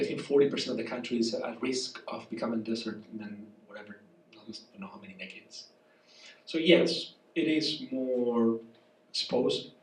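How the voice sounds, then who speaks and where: A man with a Spanish accent, congested and soft-voiced, speaking haltingly as a guest professor in a public conversation. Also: mid-range voice, slight background noise. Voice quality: soft, weak voice. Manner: halting, searching for words, apologetic. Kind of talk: public conversation, reflective lecture talk. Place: café. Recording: echoey room from far off.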